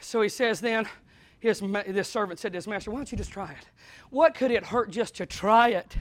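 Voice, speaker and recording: A man preaching a sermon in a small church, dropping to quiet talk and then rising into shouted preaching. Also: brisk, dry recording. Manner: swings from low conversational talk to shouted preaching. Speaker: pastor.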